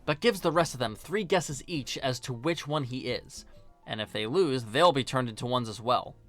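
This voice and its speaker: A man hosting a film review video, narrating a scripted essay in a conversational way with wry, deadpan asides. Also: mid-range voice, moderate pace, faint music behind.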